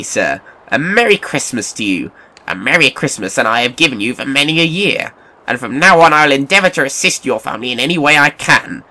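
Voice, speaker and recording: An actor playing a reformed elderly miser, now a kindly and apologetic gentleman, with formal old-fashioned courtesy in an audio drama. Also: mid-range voice, exaggerated voice. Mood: jubilant.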